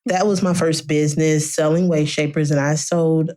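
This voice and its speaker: A woman's voice: low-pitched, fairly even intonation, mellow. A woman in her thirties, a restaurant owner, talking easily as an interview guest. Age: 30s. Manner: easygoing, agreeable, quick to laugh. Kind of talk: casual interview chat.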